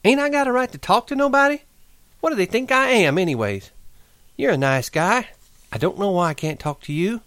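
A man voicing a lonely, restless young woman on a ranch, talking in a quick, coaxing, pleading way. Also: fairly high pitch, big pitch swings, dry recording, pitched above the performer's own voice. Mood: aggrieved. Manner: pleading, fast-tumbling, coaxing.

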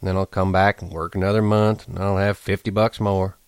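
A man's voice: deep voice, little pitch movement, normal speed, clear, resonant tone, no echo. A man voicing a resigned, stern ranch worker with plain, rustic American speech, in an audiobook. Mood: soft, dejected.

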